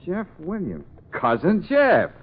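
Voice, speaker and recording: A man's voice: high voice, huge pitch swings, slow pace, husky, exaggerated voice. Male lead: breezy American radio detective, very swooping delivery, old narrow-band broadcast. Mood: breezy, surprised. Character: breezy optimistic young detective, ex-Army. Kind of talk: 1940s radio acting. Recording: narrow, muffled 1940s radio recording.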